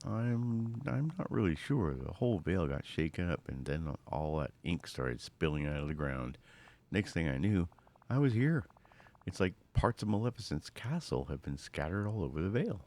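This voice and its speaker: A man's voice: sits low, very animated, moderate pace, a little mellow. A man voicing a charming, cocky roguish thief from a video game, flippant and teasing. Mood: uncertain.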